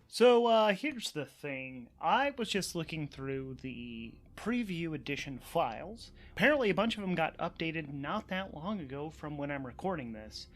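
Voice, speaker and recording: High-voiced man hosting a tabletop game podcast. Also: very animated, moderate pace, few pauses, soft background music. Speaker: host, narrator and player of a solo tabletop RPG podcast. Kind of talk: narration and game commentary, actual play.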